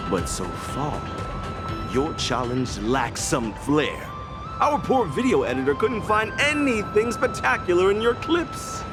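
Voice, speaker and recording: A man's voice: on the high side, wildly swooping pitch, a little slow. A man voicing a sly male show producer in a video game, talking in a teasing, theatrical way and chuckling between lines. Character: sly showman producer, mocking and persuasive. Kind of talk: video game cutscene voice acting. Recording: music playing underneath.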